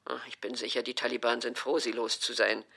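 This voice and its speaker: A woman's voice: a bass voice, little pitch movement, a little fast, vocal fry, fairly bright tone, heavily acted. An actress playing a stubborn, easily offended older mother who complains dramatically in an audio drama. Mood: scornful. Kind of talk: acted German audio drama dialogue.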